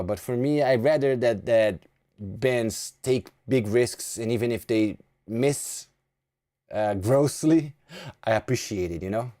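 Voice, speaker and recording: A Brazilian man in his thirties hosts a casual live stream, speaking English with a clear Brazilian accent. His voice is dark and mellow and sounds a little sleepy. He rambles and repeats words as he restarts his thoughts. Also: on the low side. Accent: Brazilian Portuguese. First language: Portuguese.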